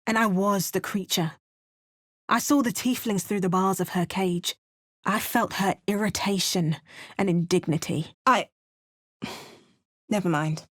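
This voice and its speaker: An actress playing a wry, curious spellcasting adventurer in a fantasy video game, with a measured, storylike delivery. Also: female voice, mid-range voice, dry recording, clean studio sound, close to an everyday voice. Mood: wry, musing. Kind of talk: video game character voice lines.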